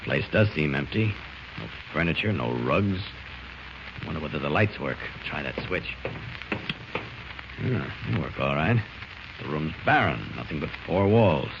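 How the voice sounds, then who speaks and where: Superhero: deep voice, resolute self-narration, muffled vintage serial sound. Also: male voice, expressive, unhurried, long pauses, heavily acted. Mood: resolute, searching. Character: determined, heroic male superhero thinking aloud. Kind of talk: 1940s radio acting. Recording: muffled, noisy old radio recording.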